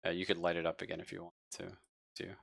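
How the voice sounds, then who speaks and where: A man running a tabletop roleplaying game as game master, narrating casually and haltingly with informal, sweary wording. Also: sits low, completely flat delivery, vocal fry, fairly bright tone, dry recording, studio quality.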